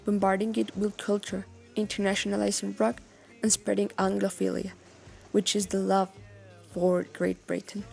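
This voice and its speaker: Faint music behind, woman, mid pitch, noticeable creak, scripted newsreel narration. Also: level tone, moderate pace, darkish tone.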